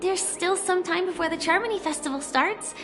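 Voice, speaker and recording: A soft, wistful young fugitive. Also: female voice, very high voice, a little fast, over music. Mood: friendly. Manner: soft, reflective, hushed. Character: quiet young woman fugitive, soft and melancholic. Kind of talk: game dialogue.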